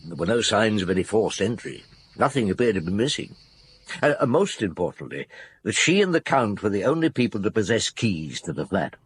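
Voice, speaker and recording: An actor playing a shrewd, patient chief inspector in a radio drama, with a dry, probing, unhurried manner. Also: male voice, on the low side, very animated, slightly rough, exaggerated voice. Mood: reflective narration. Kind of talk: radio drama acting with narration.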